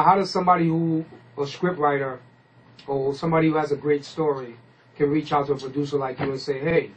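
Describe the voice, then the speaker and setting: A man's voice: on the high side, muffled recording, slight background noise. A man hosting a casual hip-hop radio interview, rambling informally and using slang. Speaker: radio host.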